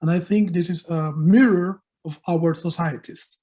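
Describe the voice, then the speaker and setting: A hesitant man speaking on an academic video call panel. His voice is mellow and sits a little high, and he stumbles through false starts before settling into his point. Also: steady tone, a little slow. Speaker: scholarly association member on panel.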